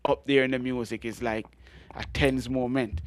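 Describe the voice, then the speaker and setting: A middle-aged Jamaican man with a deep voice, telling animated stories as a guest in an interview. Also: normal speed. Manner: animated storytelling with frequent laughs and restarts. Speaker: guest reggae artist. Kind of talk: storytelling interview.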